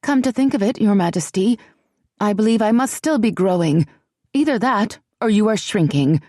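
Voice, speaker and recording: A tall young woman whose voice is pitched well above the narrator's, as a woman reader puts her on. She talks with sharp, proud retorts but quickly turns flustered and groaning, with an edge of nerves under the bravado. The sound is close and dry. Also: expressive, no room sound. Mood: defiant.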